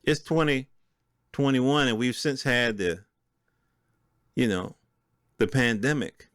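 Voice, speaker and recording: A man's voice: medium pitch, takes it slowly, fairly bright tone, no room sound. An American man with a mid-range voice talks in a casual, opinionated style, as a solo podcast host does. He addresses listeners directly and conversationally. The sound is dry, with very little room in it. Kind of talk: casual solo commentary, reading and reacting to news articles.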